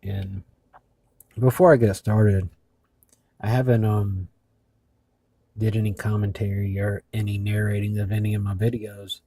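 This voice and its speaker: Halting, mellow man with labored speech. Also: fairly low pitch, little pitch movement, no echo. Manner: halting, hesitant. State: labored speech after mouth surgery, in pain. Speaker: video host. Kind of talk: casual video narration.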